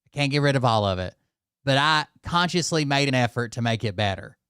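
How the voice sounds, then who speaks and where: A man's voice: medium pitch, lively intonation, a little fast, fairly bright tone, a little hiss or hum. A man from Alberta with an Albertan Canadian accent, giving casual, mocking reaction commentary. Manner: mocking, laughing, chatty. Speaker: commentary streamer.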